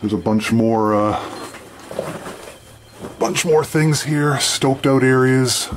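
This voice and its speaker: A man from Canada giving casual, halting running commentary as he explores underground and describes what he sees. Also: medium pitch, slight background noise. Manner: halting, unscripted descriptions of surroundings. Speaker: mine explorer and video host. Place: underground in an abandoned mine tunnel.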